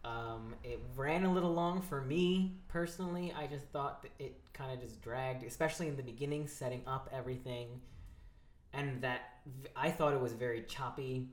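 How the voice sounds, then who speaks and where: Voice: medium pitch, moderate pace. A podcast co-host chatting casually and rambling through a film review in a giggly, informal way. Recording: echoey room with faint background noise.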